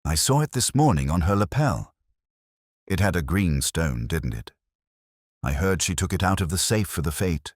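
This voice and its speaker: A man's voice: low voice, big pitch swings, moderate pace, dry recording, studio quality. A man voicing gossiping, disapproving village busybodies in an audio mystery, catty and speculative. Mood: gossipy.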